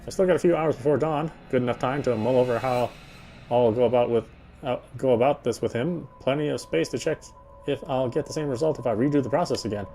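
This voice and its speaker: A man's voice: medium pitch, fast talker, gravelly, mellow, faint music behind. A man narrating a visual novel aloud, sometimes stumbling over a line and repeating words. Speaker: game streamer reading a visual novel aloud. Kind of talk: narration read aloud with side comments.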